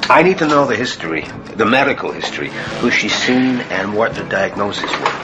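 A man acting in a radio play as a sober, probing priest and psychiatrist, with a measured, questioning manner. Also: lowish voice, big pitch swings, lo-fi sound, background noise. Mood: clinical.

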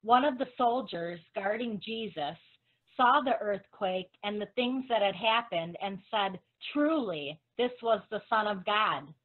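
A woman's voice: mid-range voice, expressive, measured pace. A woman playing a grieving, devoted follower of Jesus in a dramatic scripture reading. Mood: sorrowful. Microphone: video call. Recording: dull, lo-fi video-call audio.

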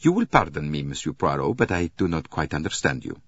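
Guy doing an eager proper doctor in an audiobook, kinda husky, very up and down, bit muffled recording. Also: rumbling low, sing-song, brisk, no room sound, heavily acted. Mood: puzzled. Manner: eager, formal, exclamatory. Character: earnest, eager male doctor, courteous and precise. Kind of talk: audiobook character voice.

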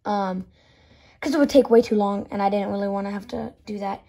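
A woman with a hoarse voice from yelling, counting down her toy figure collection in a loose, chatty way, with plenty of "um" and "so yeah", filmed on a phone in a small indoor room. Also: medium pitch, fairly even intonation, brisk. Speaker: toy collector channel host. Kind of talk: casual video countdown.

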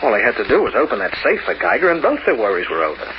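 An actor playing a cynical, streetwise locksmith who narrates in hardboiled slang, in an old-time radio noir style. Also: male voice, mid-range voice, wildly swooping pitch, very fast. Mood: wry narrating. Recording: noisy, muffled old radio recording.

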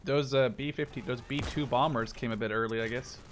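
A man in his twenties from the Netherlands, chatting casually and joking with a friend while playing a shooter game, with sudden excited shouts. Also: mid-range voice, a little fast, a little hiss or hum. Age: 20s. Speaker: gaming channel host. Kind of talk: casual gameplay chat with a friend.

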